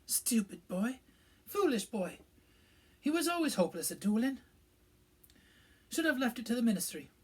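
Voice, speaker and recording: A man's voice: high-pitched, expressive, moderate pace, voice pushed up. A man voicing a strict older female professor who speaks in a clipped, scolding way. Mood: stern regretful. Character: stern older woman professor, brisk and strict.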